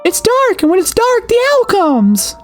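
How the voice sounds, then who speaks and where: A woman voicing a stubborn, excitable little sister, childish and insistent, reading video game dialogue aloud. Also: very high-pitched, unhurried, faint music behind, voice pushed up, exaggerated voice. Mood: frightened, pleading.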